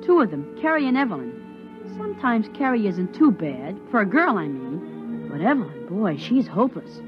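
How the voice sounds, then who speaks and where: A woman's voice: medium pitch, sing-song, normal speed, music in the background, lightly acted. A woman playing a restless, cheeky young boy with a sulky, eager manner in a 1950s radio drama. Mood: grumbling. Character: restless young boy, cheeky, grumbling about his sisters. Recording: dull vintage 1950s radio recording.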